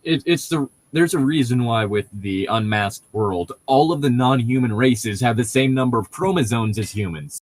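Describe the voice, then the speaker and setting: A man's voice: medium pitch, normal speed, hardly any pauses, dry recording. A man chatting casually as a podcast co-host, explaining things in a nerdy way and often restarting his sentences. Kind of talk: casual chat.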